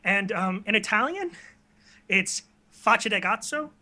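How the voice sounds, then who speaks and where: A man with a high-pitched, bright voice speaks as an interview guest. His delivery is casual and conversational, recorded in a bedroom. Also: lively intonation, unhurried. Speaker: interview guest, online video creator. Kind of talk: casual chat.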